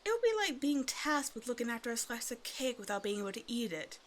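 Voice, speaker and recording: A woman's voice: on the high side, very animated, fast, slight background noise. A woman playing a predatory female naga in an ASMR roleplay, talking to the listener with teasing, condescending, casual menace. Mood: condescending, dismissive. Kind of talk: ASMR roleplay, one-sided scripted dialogue to the listener.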